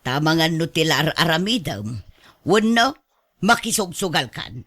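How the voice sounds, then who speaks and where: A man's voice: a little high, expressive, unhurried, fairly bright tone, dry recording, heavily acted. A man playing an exasperated, suspicious family member in a radio drama, nagging and reproachful. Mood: scolding, suspicious. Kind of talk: Ilocano radio drama acting.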